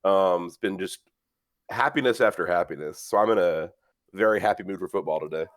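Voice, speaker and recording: Young man, stuffy nose from a cold, low voice, dry close mic, rambling with fillers. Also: brisk, dry recording. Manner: rambling, swears often, many fillers. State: congested with a cold. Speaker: podcast host. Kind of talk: casual sports podcast chat.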